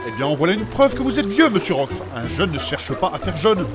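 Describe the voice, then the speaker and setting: A man's voice: sits high, sing-song, fairly quick, over loud music. A man playing a fussy, polite older man in a comic audio drama, speaking primly and formally as he coaxes. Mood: gently scolding. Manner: prim, formal, fussing and coaxing. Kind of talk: comic audio fiction acting.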